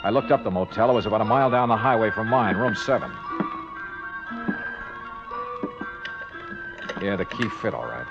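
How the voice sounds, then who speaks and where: An American actor playing a wry, cool-headed hardboiled investigator in a 1950s radio drama, with clipped, slangy delivery. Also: male voice, fairly low pitch, lively intonation, fast talker, creaky. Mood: wry narrating. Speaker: lead actor and narrator. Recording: dull 1950s radio recording, music behind.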